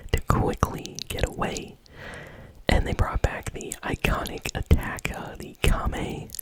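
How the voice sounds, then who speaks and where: Constant breathy ASMR whisper. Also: low-quality recording. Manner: casual, conversational, soft-spoken. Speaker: host of a gaming news show. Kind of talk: whispered ASMR news commentary.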